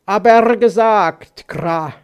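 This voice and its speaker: A man's voice: high-pitched, slow pace, smooth, dull audio. A man voicing a formal, baffled male raven in a storybook, drawing out words and punctuating them with caws. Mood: obliging. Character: male raven, formal, slow, baffled, croaks between phrases.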